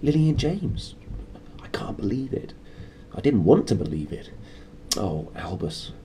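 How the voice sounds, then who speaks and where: A man's voice: on the low side, sing-song, a little slow, warm tone, slight background noise. A man voicing a severe, impatient older woman professor in a children's storybook, prim and clipped. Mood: shocked grief.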